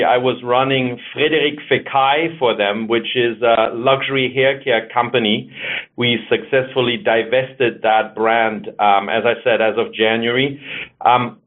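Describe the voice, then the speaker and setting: A middle-aged man with a German accent, a marketing executive and author, giving measured, explanatory interview answers and thinking aloud as he goes. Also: medium pitch. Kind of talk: interview answers over the phone. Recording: narrow tinny phone line.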